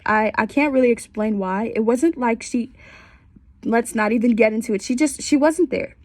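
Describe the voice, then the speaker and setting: A woman's voice: on the high side, moderate pace, darkish tone, dry recording. A young woman in her twenties with a stuffy, congested voice, candidly telling her personal story for a class in a small bedroom. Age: 20s. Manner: candid and conversational, with wry asides. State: congested from a cold. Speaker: student.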